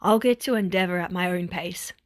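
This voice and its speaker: Woman doing a raspy, nonchalant young male villain. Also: fairly low pitch, a little fast, no echo, studio quality, natural voice. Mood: gruff. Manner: drawling, grunting, nonchalant.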